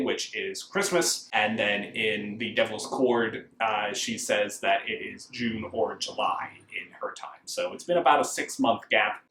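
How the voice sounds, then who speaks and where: A man's voice: lowish voice, a bit bright, a little hiss or hum. American man reviewing in an echoey room. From United States. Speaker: book reviewer. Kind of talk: casual review talk to camera. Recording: roomy, echoing indoor sound.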